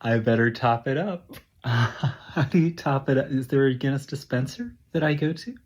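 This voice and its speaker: A man's voice: medium pitch, lively intonation, normal speed, husky. A man playing an overconfident, clueless tourist in a satirical comedy sketch. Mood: smugly clueless. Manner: blundering, insistent.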